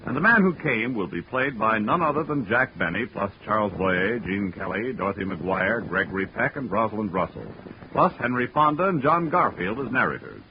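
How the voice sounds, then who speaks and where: A man's voice: lowish voice, sing-song, normal speed. A man working as a radio announcer, delivering promotional copy in 1940s network style. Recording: muffled, noisy 1940s radio recording.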